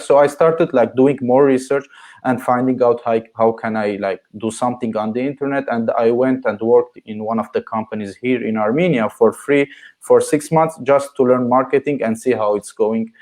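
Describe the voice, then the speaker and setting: A man's voice: mid-range voice, a little mellow. A young man from Syria, a podcast guest, answering earnestly and filling his speech with many "like"s. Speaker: guest, marketing agency founder. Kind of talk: interview answers.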